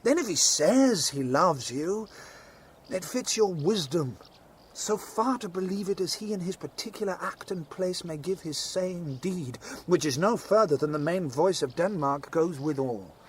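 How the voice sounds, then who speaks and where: An actor playing an earnest, protective young nobleman who cautions his sister in measured Shakespearean verse. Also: high-pitched, expressive, slight background noise. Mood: earnest warning. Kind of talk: Shakespearean stage acting.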